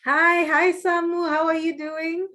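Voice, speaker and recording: A woman's voice: very high voice, level tone, measured pace, pushed and bright. A woman with a Zimbabwean accent, a native Shona speaker, giving a conversational personal account as an interview guest, with hesitant phrasing and repetition. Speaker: interview guest, language school founder.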